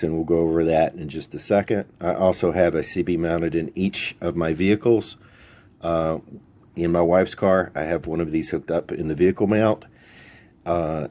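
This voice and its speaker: Deep-voiced man giving a casual gear rundown. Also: warm tone. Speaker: YouTube channel host. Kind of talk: casual product overview, explaining radio gear.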